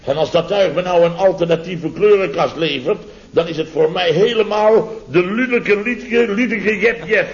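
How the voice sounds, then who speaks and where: A man's voice: sits high, moderate pace, hardly any pauses, roomy sound. A man playing a blustering, sarcastic middle-aged contractor boss in a vintage radio comedy, repeating himself indignantly. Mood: scornful. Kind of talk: 1970s Dutch radio comedy acting. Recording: dull vintage radio recording.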